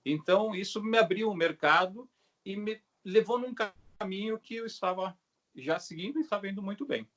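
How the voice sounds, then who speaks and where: Higher-voiced middle-aged Brazilian man chatting. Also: a little fast, fairly bright tone, dull audio. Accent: Brazilian Portuguese. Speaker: craftsman, bow maker being interviewed. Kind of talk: casual explanatory chat. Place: video call.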